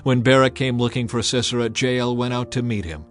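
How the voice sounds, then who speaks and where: A man reading scripted scripture narration in a measured, solemn storytelling manner. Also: mid-range voice, fairly even intonation. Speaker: narrator of a dramatized audio Bible. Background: music under the voice.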